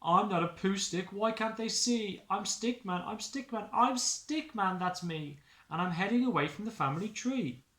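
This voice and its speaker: A small storybook stick man acted out by a man, pitched high and plaintive. He protests indignantly in insistent, drawn-out chants, homesick, in a roomy, echoing space. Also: animated, pushed and bright. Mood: indignant, pleading. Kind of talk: rhyming picture-book story voice.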